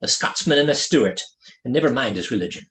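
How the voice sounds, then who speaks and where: Man voicing a steady, animated ship's weathermaster. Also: mid-range voice, fairly quick, close to an everyday voice. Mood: assured. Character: male Scottish ship's weathermaster, steady fatherly leader.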